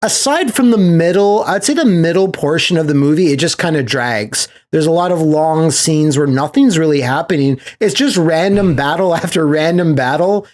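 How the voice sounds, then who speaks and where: A man's voice: a little high, animated, moderate pace, hardly any pauses, darkish tone. A man hosting a podcast, chatting casually in an enthusiastic, rambling way. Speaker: podcast host.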